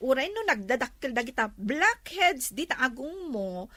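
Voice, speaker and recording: A woman's voice: high voice, very animated, takes it slowly, pushed and bright, dry recording, exaggerated voice. A woman with an Ilocano accent acting a vain, giggly younger village beautician in a comedy skit. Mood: coy, confident.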